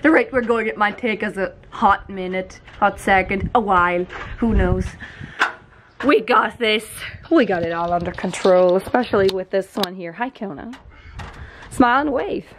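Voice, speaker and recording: A woman's voice: mid-range voice, moderate pace. A young woman chatting cheerfully to her handheld camera as she vlogs her laundry day. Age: young adult. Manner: cheerful, chatty, laughs easily. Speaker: vlogger. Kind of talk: casual vlog narration. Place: outdoors at home and in a laundromat. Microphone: handheld camera at arm's length. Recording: noisy outdoor ambience.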